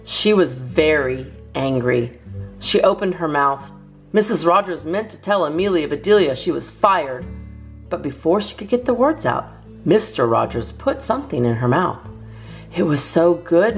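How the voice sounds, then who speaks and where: A woman reads a picture book aloud as a storytime host. Her voice sits a little low and moves with lively intonation, with gentle guitar music underneath. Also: normal speed. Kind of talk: animated children's storybook reading. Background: soft plucked guitar music.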